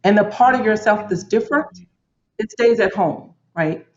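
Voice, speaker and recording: Lady on a work panel, earnest and deliberate, echoey room, dull audio. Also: medium pitch. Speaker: panelist, corporate leader. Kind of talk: reflective panel talk. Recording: roomy, muffled lo-fi audio.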